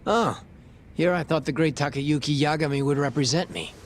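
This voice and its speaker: A man's voice: fairly high pitch, normal speed, a little hiss or hum, lightly acted. An actor playing a shady, sarcastic male police detective with a streetwise manner in an English video game dub. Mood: sardonic. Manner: sarcastic, streetwise, foul-mouthed.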